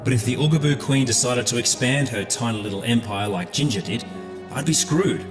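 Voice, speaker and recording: An actor playing a loyal, reassuring emperor and old friend in a fantasy audio drama, earnest and insistent. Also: male voice, mid-range voice, brisk, music in the background, lightly acted. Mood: sincere, friendly.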